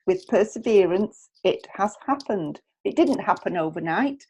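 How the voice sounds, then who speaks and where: A woman's voice: medium pitch, expressive, unhurried, dark tone, husky, lo-fi sound. A middle-aged woman hosting a podcast, speaking in a chatty presenting style. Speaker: podcast host.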